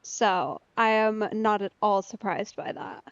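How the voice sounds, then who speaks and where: A woman's voice: medium pitch, a little slow, no room sound, lo-fi sound. A young woman casually commenting while playing a video game, chatty and giggly. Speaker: game streamer. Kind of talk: casual let's play commentary. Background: game music.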